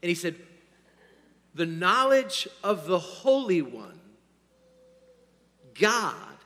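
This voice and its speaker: A man preaching with a hoarse, bright, slightly high voice. He swings from loud bursts to quiet asides and keeps checking in with "all right?" The sound rings with a long reverb from the sanctuary. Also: very animated, extremely slow. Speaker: pastor. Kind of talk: sermon. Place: church sanctuary.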